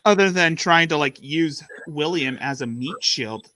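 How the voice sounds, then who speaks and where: A man with a slightly nasal voice chats casually on a stream, his tone bright and a touch high. He halts and restarts as he talks, and the sound is dry and close with no room around it. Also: animated, no room sound. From Arizona, US. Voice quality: a bit nasal. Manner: halting, restarts mid-thought. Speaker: stream co-host.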